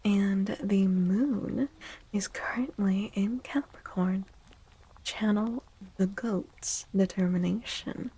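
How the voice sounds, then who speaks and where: A woman streams in a hushed, breathy ASMR voice that often slips toward a whisper. Her tone is mellow and creaky at the edges, and she takes her time. She chats gently, with a playful, theatrical way of drawing out words. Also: mid-range voice, slow pace. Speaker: streamer hosting a tarot and astrology stream. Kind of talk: soft-spoken ASMR, casual live stream chat.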